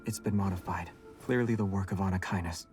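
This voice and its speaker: An actor playing a calm, guarded young monster hunter in a video game, soft-spoken and measured. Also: male voice, low-pitched, faint music behind, lightly acted. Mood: calm, serious.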